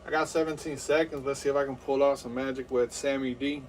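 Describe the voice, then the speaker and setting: A man's voice: mid-range voice, fairly even intonation, fast talker, a little hiss or hum. Man, thirties, game streamer, hyped play-by-play, lots of fillers, distant mic. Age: 30s. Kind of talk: live game stream commentary.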